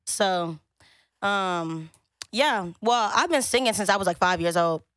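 A young woman with a raspy voice, a singer chatting casually as a guest. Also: medium pitch, a little slow, a bit bright, no echo, clean studio sound. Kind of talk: casual interview chat.

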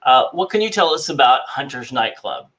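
A man hosting a podcast, asking slow, hesitant questions with fillers. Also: medium pitch, sing-song, a bit bright. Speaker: podcast host. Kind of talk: interview host.

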